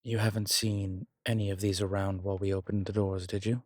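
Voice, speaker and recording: A man playing a wry, world-weary demon investigator in a horror roleplay audio, speaking in hesitant, measured, musing phrases with dry humour. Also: lowish voice, little pitch movement, no room sound, lightly acted. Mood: pensive, wary. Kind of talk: horror roleplay audio, intimate one-sided dialogue.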